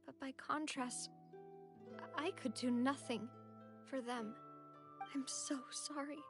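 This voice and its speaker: A woman playing a sincere, big-hearted young gentlewoman in an anime video game, with an expressive delivery. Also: high-pitched, a little slow. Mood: tearful, remorseful. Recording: background music under dialogue.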